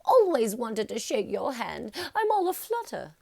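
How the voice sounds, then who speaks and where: A woman's voice: on the high side, huge pitch swings, unhurried, creaky, a little mellow, no echo, pitched above the performer's own voice, exaggerated voice. A woman voicing a gushing, flustered female admirer in a storybook. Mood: adoring, flustered. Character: fluttery, adoring woman fan in a pub.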